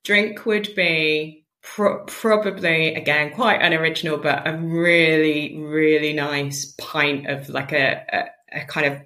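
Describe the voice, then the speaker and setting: Woman, late 30s, from mid Wales, deep for a female voice, crisp bright tone, chatty podcast guest, informal. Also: fairly even intonation. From rural mid Wales. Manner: chatty, informal, quick to laugh. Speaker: interview guest, charity founder. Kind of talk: casual podcast chat.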